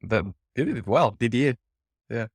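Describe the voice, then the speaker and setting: A man in his thirties from Portugal, a startup cofounder answering interview questions in a relaxed, halting way with lots of fillers. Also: mid-range voice, husky, no echo.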